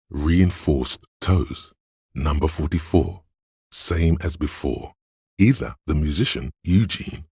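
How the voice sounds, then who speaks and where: An extremely deep bass plays the grave inner voice of a detective in a video game. He narrates in a measured, clinical way, observant and dryly judging. The sound is close and dry, with a slightly husky grain. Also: a little mellow, no echo, full character voice. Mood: clinical, focused. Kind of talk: dramatic second-person game narration.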